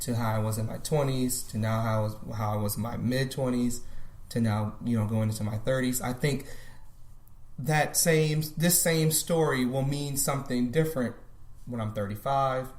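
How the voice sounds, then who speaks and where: A man's voice: medium pitch, normal speed, a little mellow. A man in his thirties, a podcast guest, talking thoughtfully in a casual conversation and often restarting his sentences. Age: 30s. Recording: roomy echo.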